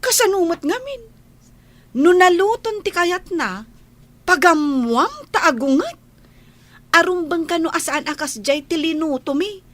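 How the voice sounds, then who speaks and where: Casting: sassy, stubborn maid for Ilocano radio comedy, high voice, animated, stretched-out phrasing. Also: female voice, dry recording, heavily acted. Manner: drawn-out, teasing, exaggerated delivery. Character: cheeky, stubborn household maid in village comedy.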